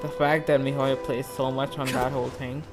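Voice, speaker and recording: Casting: male gaming reaction host, informal, excitable rambler, mid-pitched voice, clear music underneath. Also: a little fast. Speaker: video game reaction host. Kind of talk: casual reaction commentary. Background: game music.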